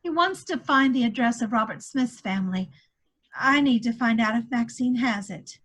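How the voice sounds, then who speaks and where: A woman performs a worried 1940s woman holding on to hope, her voice high. She speaks earnestly and fretfully, as in a stage drama read aloud. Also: animated, moderate pace, fairly bright tone. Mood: anxious, insistent. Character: anxious, hopeful wartime woman clinging to news of missing airman. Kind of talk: one-act play table read. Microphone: video call.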